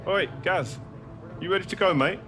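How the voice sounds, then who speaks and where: An actor playing a friendly, chatty interviewer in a casual game cutscene. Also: male voice, high-pitched, normal speed, over music, close to an everyday voice.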